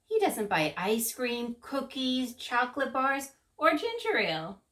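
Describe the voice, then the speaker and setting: A woman voicing a bold, mischievous little girl in a children's story, eager and loud, whiny when upset. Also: sits high, very animated, unhurried, far from the mic, heavily acted. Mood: complaining.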